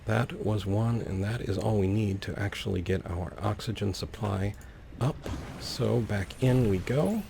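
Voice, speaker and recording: A man's voice: lowish voice, unhurried, creaky, warm tone, slight background noise. A man giving casual gameplay commentary, thinking aloud in a whimsical, musing way. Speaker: let's player, host.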